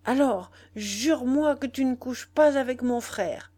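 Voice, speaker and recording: A woman's voice: high voice, unhurried, dark tone, no echo, pitched above the performer's own voice. Lovesick teenage boy voiced by a woman reader, insistent, jealous, pleading audiobook dialogue. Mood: anguished pleading.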